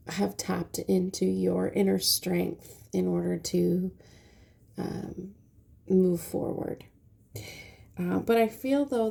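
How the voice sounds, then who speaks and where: A woman with a stuffy nose giving a casual tarot reading, speaking softly and hesitantly with many pauses and fillers. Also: fairly low pitch, creaky. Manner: soft, hesitant, many ums. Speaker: tarot reader.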